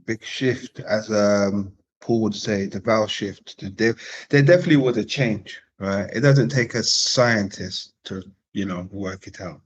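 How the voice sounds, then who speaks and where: A man hosting a live-streamed panel chat. He talks casually, repeating phrases and giving slangy shout-outs to viewers. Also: lowish voice, a little slow. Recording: dull, lo-fi stream audio.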